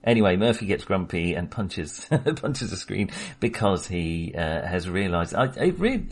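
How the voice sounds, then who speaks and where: A man's voice: sits low, big pitch swings, measured pace, slightly rough. A man co-hosting a casual film chat, talking hesitantly with many restarts. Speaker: podcast co-host.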